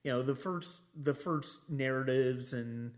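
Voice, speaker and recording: A man hosting a casual film discussion, halting as he thinks aloud and often restarting his phrases. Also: mid-range voice. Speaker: podcast host discussing films.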